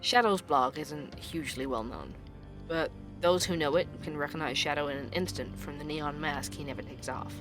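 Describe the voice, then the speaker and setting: A man playing an earnest, dogged investigative podcast host in an audio drama, with a crisp, measured delivery. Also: fairly high pitch, over music, natural voice. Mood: earnest, narrating. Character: male investigative podcast host, dogged, weary young employee. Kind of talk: scripted podcast narration and audio drama dialogue.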